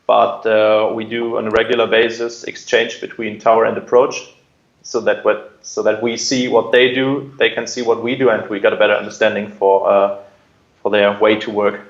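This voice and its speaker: A man's voice: on the low side, level tone, moderate pace, reverberant, highs cut off. A man answering interview questions and explaining his work in a conversational way. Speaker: air traffic controller, interview guest.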